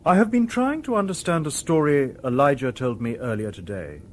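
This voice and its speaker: An actor playing a polite, logical humanlike robot in a radio drama, speaking formally and precisely. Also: male voice, mid-range voice, lively intonation, moderate pace, slight background noise, lightly acted. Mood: solemn, gentle.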